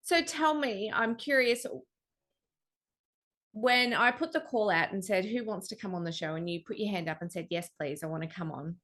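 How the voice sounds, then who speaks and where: A woman's voice: medium pitch, moderate pace, fairly bright tone. A woman, hosting a relationship interview over a video call and asking curious questions. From Australia. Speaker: podcast host. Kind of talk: interview host.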